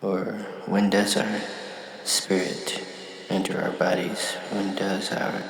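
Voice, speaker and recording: A man delivering a reflective spiritual monologue, musing in halting phrases with long pauses between them. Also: sits low, level tone, reverberant. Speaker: monologue speaker.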